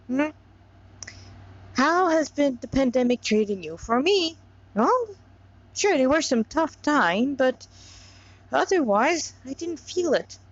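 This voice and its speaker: Slow-paced woman, dull lo-fi recording. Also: high-pitched, sing-song. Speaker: fan fiction author and reader. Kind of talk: casual chat and informal story reading.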